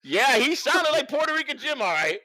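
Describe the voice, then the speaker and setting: A man's voice: extremely high pitch, big pitch swings, a little fast, sharp tone, no echo. A man on a livestream, talking off the cuff in a profane, rambling way and repeating himself for emphasis. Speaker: livestream co-host. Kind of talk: unscripted livestream rant and banter.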